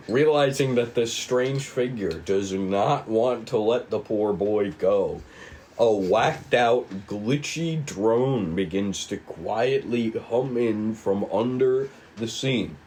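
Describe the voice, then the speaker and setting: Male script narrator, lowish and very unhurried, dramatic yet informal, slight background noise. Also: expressive, a little mellow, rough recording. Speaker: writer and narrator of a table read. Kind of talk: scripted narration of screen directions.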